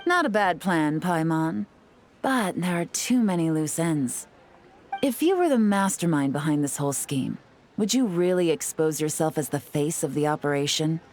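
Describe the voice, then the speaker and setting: A woman's voice: low voice, animated, moderate pace, darkish tone, slight background noise. A woman playing a cool, shrewd, self-assured intelligence agent in a video game, composed and sly in her delivery. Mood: explaining, shrewd. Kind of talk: video game character dialogue.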